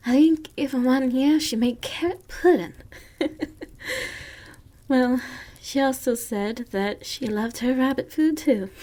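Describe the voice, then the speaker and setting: A woman acts out a kindly young farmer's daughter with a Southern US accent. She speaks slowly in a slightly high voice, gentle and folksy, as intimate comfort roleplay for ASMR. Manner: gentle, folksy, reassuring, giggly.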